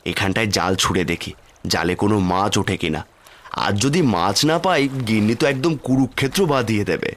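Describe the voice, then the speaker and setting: A man's voice: lowish voice, a little fast, fairly bright tone, close to an everyday voice. A man voicing a poor, mild village husband and father in a cartoon fairy tale, placating and self-pitying. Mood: weary, despairing.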